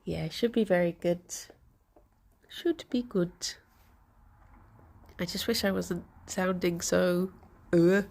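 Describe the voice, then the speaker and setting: A young woman streamer with a congested, bunged-up voice, chatting softly with viewers and laughing often. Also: on the low side, slow, creaky, long pauses. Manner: soft, chatty, laughs often, greets chat by name. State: congested, stuffy nose. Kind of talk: casual morning chat with viewers.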